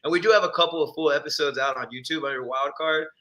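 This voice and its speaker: A young man, raised in Texas, chatting as a musician guest in a relaxed, slangy way and laughing easily. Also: on the high side, fast talker. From Texas, born in Ecuador. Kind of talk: casual chat.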